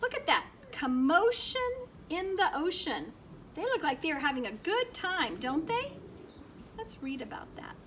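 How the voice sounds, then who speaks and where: A woman teacher reading a children's picture book aloud, expressive, with simple prompts aimed at young listeners. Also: sits high, unhurried, slight background noise.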